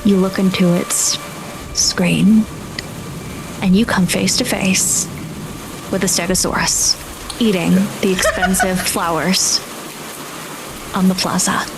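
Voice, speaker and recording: A woman's voice: fairly low pitch, fairly even intonation, slow pace, pauses often, background noise. A woman acting as game master, improvising descriptive scene-setting narration for a tabletop role-playing game.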